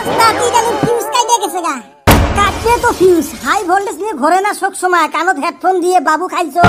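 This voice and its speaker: An extremely high, squeaky cartoon village lad with an artificially raised voice. He is cheeky and argumentative, bantering over loud music. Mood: teasing banter. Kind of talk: comic cartoon dialogue.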